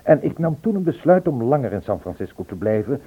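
A man's voice: mid-range voice, sing-song, a little fast, husky. Suave romantic man, tinny radio sound. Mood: reminiscing. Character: charming, impulsive man telling of his whirlwind romance. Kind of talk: Dutch radio play, narration and dialogue. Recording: tinny, band-limited old radio recording.

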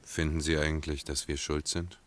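A man's voice: very deep voice, little pitch movement, moderate pace. A man playing an ironic, talkative stage actor in a German radio play, speaking with theatrical, mocking courtesy. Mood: pensive, subdued.

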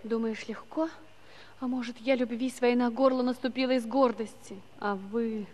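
A woman's voice: fairly high pitch, fairly even intonation, normal speed, darkish tone, natural voice. An actress playing a proud, spirited young village woman in theatrical radio play style. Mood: proud, challenging.

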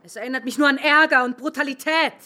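Radio drama: young kept woman, high-pitched and piercing, petulant, commanding. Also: fast, pushed and bright. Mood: irritated. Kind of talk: German radio play acting.

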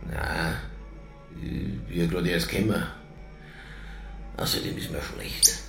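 An actor playing a fat, blunt, hard-drinking police detective in a radio crime drama, with a Bavarian accent and a dry, laconic manner. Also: male voice, a bass voice, takes it slowly, gravelly, sharp tone, roomy sound, faint music behind, heavily acted. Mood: matter-of-fact.

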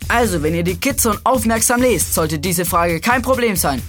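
Woman acting a cocky boy game-show host, slangy, teasing, mid-pitched, soft music behind, scripted children's show. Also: lively intonation, moderate pace, close to an everyday voice. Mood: upbeat. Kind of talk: scripted children's quiz presenting.

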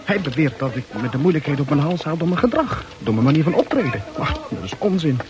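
A man's voice: on the high side, big pitch swings, fast, over music. An actor playing a nervous bachelor muttering his anxious thoughts as he walks through town in an old radio play. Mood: fretting. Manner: anxious inner monologue. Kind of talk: 1960s radio play acting.

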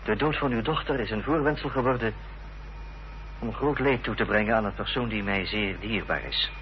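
An actor playing a determined, formal gentleman in a radio drama, earnest and insistent, on a dull, noisy old recording. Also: fairly low pitch, normal speed, natural voice. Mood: resolute, formal. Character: determined, formal gentleman confronting an enemy's accomplice.

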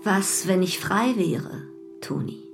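A woman's voice: mid-range voice, slow, creaky, over music. An actress playing a passionate married woman in a secret affair, murmuring intimately and insistently in an audio drama. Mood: intimate, probing.

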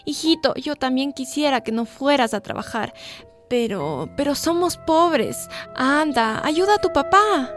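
A poor, worried mother in a radio drama. Her voice is high, tender and pleading as she coaxes her child. Faint music sits behind her. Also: lively intonation, unhurried. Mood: urging, regretful. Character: poor, loving, worried mother of a small boy.

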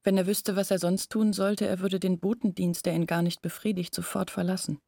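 A woman playing a gentle, devoted young villager in a radio play, explaining things quietly. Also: lowish voice, little pitch movement, fairly quick, creaky, no echo. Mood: earnest, confiding. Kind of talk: literary radio play dialogue.

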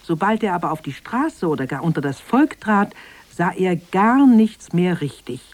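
Female storyteller for children's audio drama: lowish, expressive, dry studio sound. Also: normal speed, no room sound. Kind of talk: scripted children's radio play narration.

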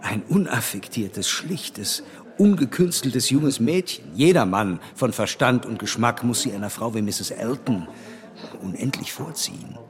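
An actor playing a frank, principled mature gentleman in a German radio drama, speaking earnestly and articulately, gently chiding. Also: mid-range voice, animated, close to an everyday voice. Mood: earnest, conciliatory. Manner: earnest, articulate, measured phrasing.

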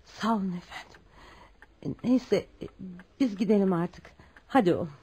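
A woman's voice: medium pitch, expressive, moderate pace, dark tone, breathy edge. An actress playing a humble but proud, poor widowed mother in a radio drama, polite and hesitant. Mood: embarrassed, hesitant. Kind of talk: Turkish radio drama acting.

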